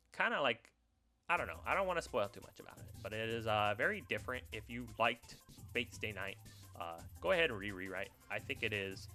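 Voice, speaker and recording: A man's voice: lowish voice, sharp tone. A man giving casual, unscripted review commentary, thinking aloud in a rambling, hesitant way. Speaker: YouTuber reviewing visual novels.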